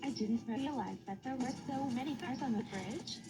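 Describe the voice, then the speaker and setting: Casting: woman playing gleeful girl villain for amateur cartoon, higher-pitched, boastful, music blaring underneath. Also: normal speed, natural voice, poor audio. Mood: scheming. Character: gleeful young cartoon girl villain plotting bridge destruction. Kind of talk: animated fan video dialogue. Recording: loud music behind the voice.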